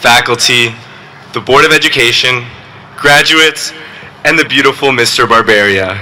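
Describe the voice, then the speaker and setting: A teenage boy, valedictorian, giving a graduation speech with joking, deadpan asides. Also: medium pitch, normal speed, very noisy. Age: teens. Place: outdoor graduation ceremony.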